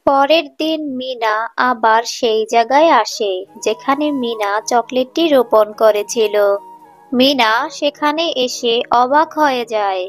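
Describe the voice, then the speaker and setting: A woman's voice: a little high, unhurried. A woman narrating a scripted children's cartoon story. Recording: music under the voice.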